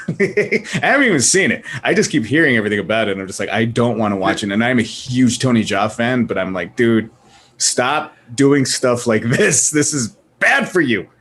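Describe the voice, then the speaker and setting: A man moderating a casual panel chat, animated and laughing, rambling with restarts. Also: medium pitch, normal speed.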